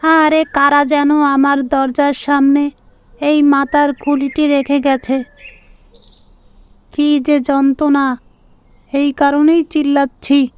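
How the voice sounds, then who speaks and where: Woman playing a high-pitched, monotone scolding old woman. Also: slow, pauses often, no room sound, highs cut off. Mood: annoyed. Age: older. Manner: scolding, complaining. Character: irritated old village woman in cartoon. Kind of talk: cartoon character voice.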